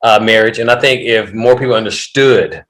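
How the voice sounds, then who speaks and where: A man's voice: lowish voice, moderate pace. A man in his late forties hosting a podcast as a coach, talking animatedly and often restarting his sentences. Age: 40s. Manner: animated, repeats words when starting sentences. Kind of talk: conversational interview.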